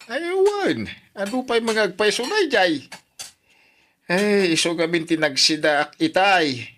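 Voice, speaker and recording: A man playing an animated, food-loving old father-in-law in a radio drama. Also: high-pitched, measured pace, a little hiss or hum, heavily acted. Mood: complaining.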